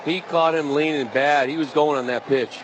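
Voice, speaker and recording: A man's voice: fairly high pitch, steady tone, moderate pace, rough recording. A man giving live color commentary and analysis on a baseball radio broadcast. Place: stadium broadcast booth. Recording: faint stadium noise behind voice. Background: faint ballpark ambience.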